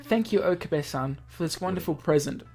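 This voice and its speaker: Woman voicing a shy, soft girlish boy. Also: deep voice, brisk. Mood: grateful, meek. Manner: timid, hesitant, polite. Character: shy, gentle, obedient girlish teenage boy. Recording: music behind the voice.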